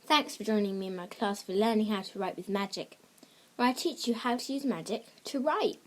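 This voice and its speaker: A woman's voice: mid-range voice, a little fast. A woman hosting a scripted lesson for children as a creative writing teacher, speaking in simple, encouraging terms. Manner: simple, encouraging address to young listeners. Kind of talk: scripted instructional narration for children.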